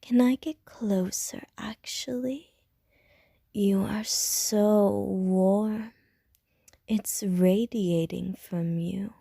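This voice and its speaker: A woman voicing a flirtatious, hungry female vampire in an ASMR audio roleplay, whispering softly and teasingly. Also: medium pitch, fairly even intonation, very slow, gravelly, no echo, studio quality, exaggerated voice. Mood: sultry whisper.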